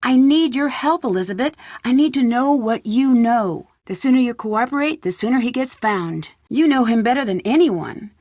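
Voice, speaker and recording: A woman playing an earnest, polite, persistent young detective in a video game, speaking clearly and in a conciliatory way. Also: a little high, lively intonation, moderate pace, hardly any pauses, a little mellow, no room sound, clean studio sound. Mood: earnest, pressing. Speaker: game protagonist.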